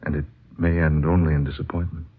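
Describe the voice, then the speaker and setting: An American actor playing an earnest, dreamy married writer in a 1940s radio drama, speaking in a measured, reflective storytelling way. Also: male voice, very deep voice, flat delivery, breathy edge. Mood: hesitant, tender. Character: earnest dreamy married male writer recounting his quest. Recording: muffled vintage radio recording.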